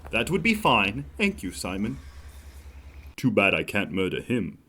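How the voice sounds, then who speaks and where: Audio drama lead, male actor: amoral innkeeper, formal and smug, very lively intonation, prominent piano music underneath. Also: fairly low pitch, unhurried. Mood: polite, then scornful, brooding. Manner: theatrical, articulate, wry, formal wording. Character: amoral murderous innkeeper, smug, coolly self-justifying man. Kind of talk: dramatic first-person monologue narration in an audio drama.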